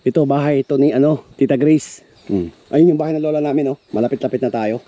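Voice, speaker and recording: A man vlogging as he walks through an overgrown yard, chatting to viewers as he guides them along, sometimes going quiet and hushed. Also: medium pitch, fast, slight background noise. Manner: chatty, guiding viewers, hushed when uneasy. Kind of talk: casual tour narration. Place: overgrown rural yard outdoors.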